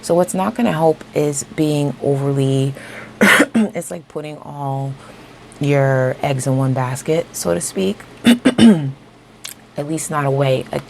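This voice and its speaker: A woman giving a casual tarot reading straight to her viewers, a little hoarse as she is losing her voice, often checking in with "okay?". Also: low voice, takes it slowly, slight background noise. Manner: talks straight to viewers, repeats "okay?" and "you know". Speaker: tarot reader.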